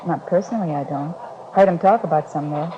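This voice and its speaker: Low-voiced, trusting young girlfriend played by a woman. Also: brisk, close to an everyday voice. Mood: fond, earnest. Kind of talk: 1950s radio drama acting. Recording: noisy, dull 1950s radio recording.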